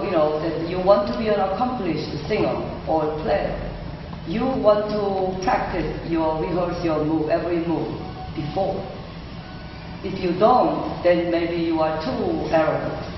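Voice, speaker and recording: In a very reverberant hall, a woman speaks far from the microphone. She answers questions like a lecturer, reflective and halting, then emphatic. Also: fairly low pitch. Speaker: professor and p'ansori singer answering questions. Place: large reverberant room at a university presentation. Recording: echoey hall, distant mic, muffled, noisy.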